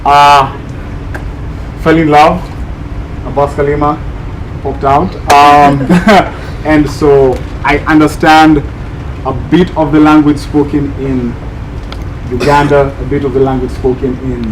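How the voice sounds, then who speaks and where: A young man from Kenya talking to a high school class, hesitant, with many restarts. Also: level tone, slow, long pauses, slight background noise, low-quality recording. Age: young adult. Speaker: student speaker at a school. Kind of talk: classroom talk.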